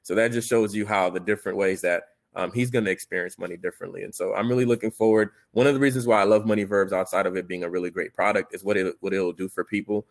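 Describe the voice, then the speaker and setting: A man's voice: sits low, fairly even intonation, fast talker, creaky, hardly any pauses. A man from Haiti telling his story earnestly as a panelist on a video call, with many fillers and restarts.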